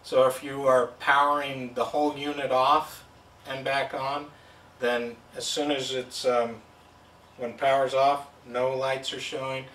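A man's voice: mid-range voice, little pitch movement, measured pace, a bit bright, recorded from a distance, a little hiss or hum. A man giving a plain, step-by-step product demonstration at a table indoors. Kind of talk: hands-on product demo. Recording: roomy sound from off-mic.

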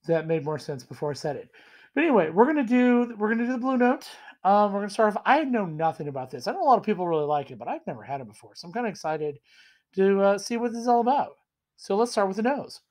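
A man in his thirties with a cold, hoarse and rambling as he tastes and reviews whiskey in a casual home vlog. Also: high voice, sing-song, fast talker, a little mellow. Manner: rambling, self-deprecating, enthusiastic. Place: small room indoors at home.

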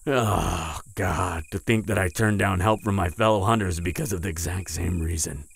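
A man's voice: sits low, exaggerated voice. A man playing a proud, wounded vampire hunter in an audio roleplay, stammering and strained with pain. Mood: grudging, wary. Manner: stammering, halting, breathy sighs and groans. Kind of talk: intimate audio roleplay acting.

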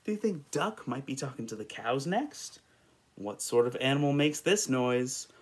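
Animated young American man reading to kids. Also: mid-range voice, moderate pace. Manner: playful, expressive, asking the listener questions. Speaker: children's story reader. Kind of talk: animated picture-book read-aloud for young children. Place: indoors, small room in front of a patterned cloth.

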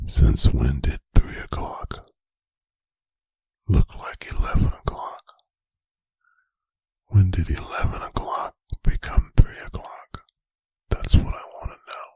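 A stern, domineering boyfriend acted by a man in an intimate ASMR roleplay. His very husky, breathy voice drops into whispers and drags slowly through angry, menacing scolding, with long pauses. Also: extremely low pitch, lively intonation, a little mellow, no room sound, exaggerated voice. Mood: quiet anger. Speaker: ASMR voice performer. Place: bedroom, close and dry.

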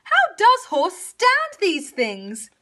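Storybook cow: high, bright, whiny and envious, bawling with mooing drama. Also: female voice, huge pitch swings, full character voice. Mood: exasperated. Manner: bawling, mooing, drawn-out wails. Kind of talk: children's storybook character voice.

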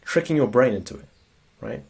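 Husky, sniffly man coaching. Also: medium pitch, animated, brisk, a little mellow. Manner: conversational, frequent tag questions. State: sniffly with a cold. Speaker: productivity coach. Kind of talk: screen-share lecture.

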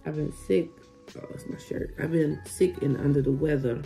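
A woman's voice: deep voice, steady tone, moderate pace, gravelly, soft background music. A woman in her thirties chatting casually to her viewers from her living room, recovering from being sick, saying "y'all" a lot and gushing over gifts. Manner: chatty, says "y'all" often, warm exclamations over gifts. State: recovering from illness. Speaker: vlogger. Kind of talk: casual vlog chat. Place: living room at home, indoors.

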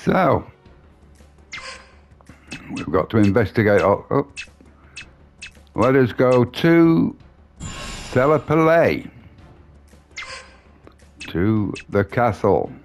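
Adventurer, game dialogue, formal, mid-pitched, drawn-out pace, music underneath. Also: male voice, expressive. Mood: resolute. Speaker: video game character.

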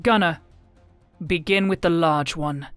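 A woman's voice: fairly low pitch, measured pace, smooth, no room sound, lightly acted. A battle-ready tank woman , voiced by a woman narrator gives clipped military callouts. She is terse and commanding, in a war audiobook with music underneath. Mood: cool command.

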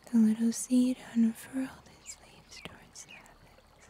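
A young woman playing a gentle, comforting summer angel in an ASMR roleplay, whispering softly and soothingly, close to the microphone. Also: a little high, flat delivery. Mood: tender, soothing. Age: young adult. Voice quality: soft, breathy whisper. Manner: gentle, soothing, slow and deliberate. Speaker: ASMR performer. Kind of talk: ASMR roleplay, scripted storytelling. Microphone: close sensitive microphone.

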